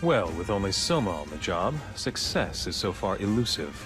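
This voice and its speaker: A man playing a composed, authoritative director in a video game, speaking in a formal, measured, polite and guarded way. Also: low voice, very animated. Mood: cool, guarded. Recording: background music under the voice.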